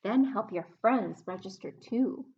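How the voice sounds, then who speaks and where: A woman hosting a children's story time, reading aloud in a sing-song, animated way and prompting young listeners with questions. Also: medium pitch, unhurried, highs cut off.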